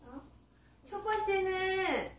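A woman in her thirties teaching English to young children in a small classroom, asking patient coaxing questions and stretching out English words slowly, sometimes singing song lines. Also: very high-pitched, lively intonation. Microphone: far camera. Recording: roomy, distant, faint hiss.

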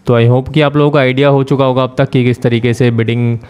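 A man talking through a screen-share tutorial as the channel host, explaining step by step with frequent filler pauses. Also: medium pitch, level tone, a little mellow, clear, resonant tone.

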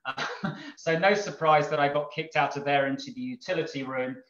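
A man's voice: fairly high pitch, normal speed, a bit bright. A middle-aged man, a brewery owner giving a casual, rambling webinar talk from home over a video call. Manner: rambling, chuckling, many ums and restarts. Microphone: video call microphone. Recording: echoey room, dull video call audio.

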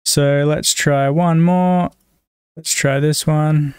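A man with an Australian accent, giving a casual software tutorial as a voice-over. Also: a little high, steady tone, slow pace, smooth, dry recording, studio quality. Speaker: tutorial presenter.

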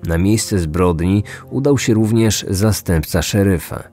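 A man with a low voice narrates a scripted true-crime story as a podcast host. Music plays beneath his narration. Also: unhurried, dark tone.

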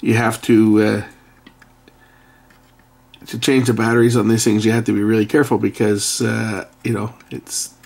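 A man's voice: on the low side, level tone, normal speed, vocal fry, pauses often, a little mellow. A middle-aged man giving casual, blunt, slightly grumbling commentary while doing hands-on repair at an indoor workbench. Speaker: repair video host. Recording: faint background noise with clicking.

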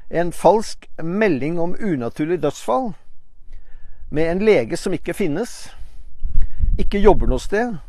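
An older man speaks to camera from a rocky mountaintop through a lapel mic. His voice sits a little high, and he asks pointed questions earnestly, with hesitant fillers. The sound is dry and close, with no echo. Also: lively intonation, long pauses, dark tone. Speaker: channel host. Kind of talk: reading a letter, then commentary to camera.

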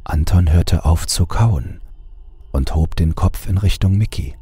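A man with an extremely deep voice narrates a horror story. The tone is dark and heavily rasping. He takes measured, dramatic pauses over faint music. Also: no echo.

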